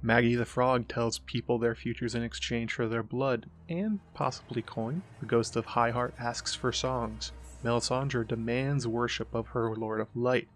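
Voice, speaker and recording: A man narrating a scripted analytical essay as the host, in a measured explanatory way. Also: mid-range voice, steady tone. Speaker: host of a book and mythology analysis show.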